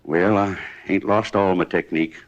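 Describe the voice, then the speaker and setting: A man's voice: sits low, fairly even intonation, normal speed, close to an everyday voice. An American man playing a proud, injured former ballplayer who talks in a folksy, plainspoken ramble, in 1950s radio style. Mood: wistful pride. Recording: muffled 1950s radio recording.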